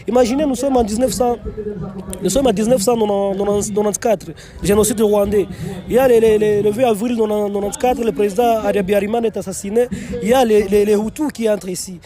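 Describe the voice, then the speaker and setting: High-voiced young Congolese man over crowd noise. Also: fairly even intonation, fairly quick. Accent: Congolese French. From Goma, DR Congo. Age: young adult. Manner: earnest, repeats and stacks phrases for emphasis. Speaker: NGO director and youth political official. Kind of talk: on-location interview answers. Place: outdoors on location. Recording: loud crowd noise behind.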